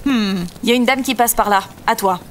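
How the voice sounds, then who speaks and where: Actress as young woman, fairly high voice, sardonic, casual, comedic audio drama, faint background noise. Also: moderate pace, natural voice. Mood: teasing. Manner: sardonic, casual, polite with strangers. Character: dry, teasing young woman on a quest.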